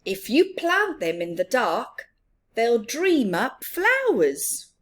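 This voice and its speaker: A woman voicing a grumpy, overworked male mouse gardener in a children's storybook, loud, exasperated and pleading. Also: on the high side, wildly swooping pitch, slow pace, pitched above the performer's own voice, exaggerated voice. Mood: grumbling, urging.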